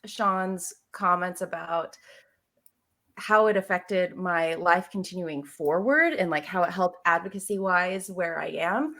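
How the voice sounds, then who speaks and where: A woman's voice: on the low side, unhurried. An American woman speaking as a podcast guest, telling stories casually with emphatic delivery and joking asides. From Seattle area, United States. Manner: animated, emphatic, jokey asides with acted-out quotes. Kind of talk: casual conversational storytelling.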